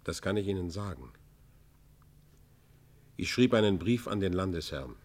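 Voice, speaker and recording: An actor playing a proud, remorseful robber pleading for mercy in a radio play, with formal, declamatory delivery. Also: male voice, low voice, expressive, moderate pace, darkish tone. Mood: proud, bitter. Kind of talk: German radio play, classical literary acting.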